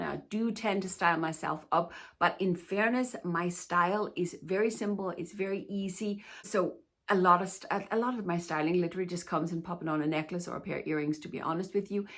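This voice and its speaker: A woman's voice: fairly low pitch, fairly quick, fairly bright tone. A Danish-born woman, a fashion stylist answering viewer questions in a chatty, reassuring way. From Denmark. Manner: chatty and reassuring, often says "to be honest with you". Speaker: plus-size fashion stylist and YouTuber. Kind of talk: casual Q&A vlog chat.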